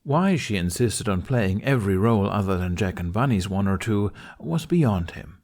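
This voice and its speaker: A man's voice: fairly low pitch, expressive, moderate pace, darkish tone. A man reading a scripted story aloud as its narrator. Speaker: fan fiction podcast narrator.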